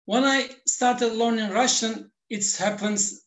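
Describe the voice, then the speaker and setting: A male language teacher answers as an interview guest in non-native English. He speaks very slowly and deliberately, explaining his views step by step. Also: very high voice, steady tone, a bit bright.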